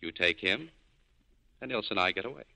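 An actor playing a cool, cynical nightclub owner in clipped, hard-boiled 1940s radio style. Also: male voice, low voice, lively intonation, a little fast, creaky, pushed and bright, full character voice. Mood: businesslike. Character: tough, cool cynical male nightclub owner scheming an escape. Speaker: lead actor in a radio drama.

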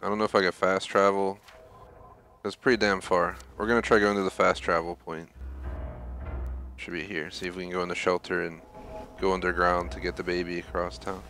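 A man's voice: sits low, little pitch movement, fairly quick, pauses often. A man giving casual gameplay commentary as a streamer, thinking aloud and explaining as he goes. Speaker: gaming streamer. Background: loud game music.

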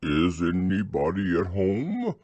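A man voicing a slow, simple bear who loves food, speaking in a drawn-out, pondering way. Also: sits low, wildly swooping pitch, darkish tone, slightly rough, no room sound, full character voice. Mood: puzzled.

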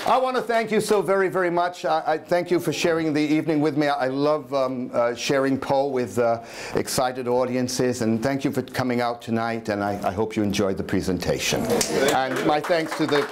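A man's voice: medium pitch, normal speed, slight background noise. An older man talking theatrically to a live audience as a storyteller, addressing the room directly. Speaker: storyteller giving dramatic readings. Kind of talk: live performance patter to an audience. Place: dim parlour of a house, small seated audience.

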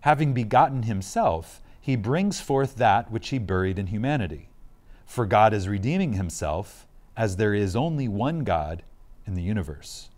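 A man giving a reverent, scripted reading of a spiritual lecture, solemn and emphatic, with deliberate pauses. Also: fairly low pitch, big pitch swings, a little mellow.

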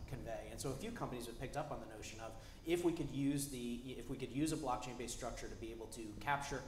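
A man in his forties answering on a conference panel, enthusiastic, with quick restarts, on a lapel mic in a large hall. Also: mid-range voice, slight background noise. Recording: echoey hall with long reverb.

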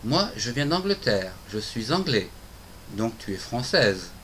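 Middle-aged male teacher, lively clear lines, hissy room recording. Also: mid-range voice, a little slow. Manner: clear, simple phrases spoken for learners. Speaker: French teacher. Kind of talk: scripted dialogue for a language lesson. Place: home room with bookshelves, indoors. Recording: noisy home video.

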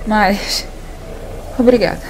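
A woman's voice: mid-range voice, fairly even intonation, slow, noisy background, close to an everyday voice. A woman playing a tough, sarcastic female fighter in an audio drama. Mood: defiant. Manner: defiant, sarcastic.

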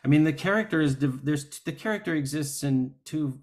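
Thoughtful man reasoning aloud, halting. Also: on the high side, a little mellow. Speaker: actor. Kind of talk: panel discussion. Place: indoors at home on a video call. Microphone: video call microphone.

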